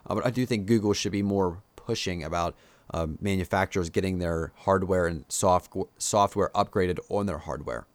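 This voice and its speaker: A man's voice: low voice, moderate pace, no room sound. A man with a Pennsylvania Dutch accent, talking casually to his viewers as a YouTuber, rambling with lots of fillers while giving his opinions. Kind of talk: casual live-stream commentary.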